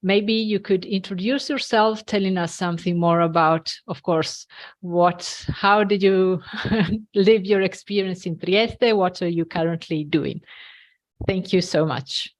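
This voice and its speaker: A woman hosts a virtual open day, inviting the guests to speak in a polite, slightly hesitant way. Her voice sits fairly low for a woman. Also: a little slow. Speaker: event moderator.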